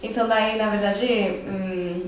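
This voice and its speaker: A teenage girl from Brazil, speaking on a panel in a casual, hesitant way, with many fillers and pauses. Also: mid-range voice, steady tone, slight background noise. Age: teens. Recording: echoey hall, mic at a distance.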